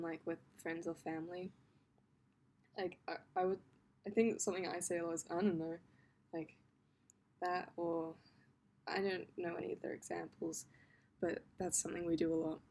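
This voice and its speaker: A young woman talks with a softened, posh Australian accent, her voice sitting slightly low. She rambles casually, filling gaps with "um" and "like." A faint hum runs under the recording. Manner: chatty, rambling with many ums and likes, laughs often. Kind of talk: casual talk to camera about her accent.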